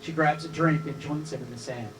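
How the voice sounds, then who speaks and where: A man's voice: a little high, steady tone, brisk. A man reading scripted stage directions as narrator at a live table read, recorded from a distance with some noise.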